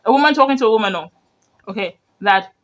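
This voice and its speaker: High-voiced man. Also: animated, quick pace, a bit bright, muffled recording. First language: Yoruba. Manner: rambling, earnest, full of "you know" and restarts. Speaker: media commentator. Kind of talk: reaction commentary on video clips.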